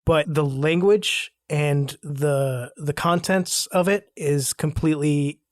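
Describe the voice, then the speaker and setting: Upbeat young man, slightly high voice. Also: takes it slowly, no echo, clean studio sound. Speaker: podcast co-host. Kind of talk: casual chat.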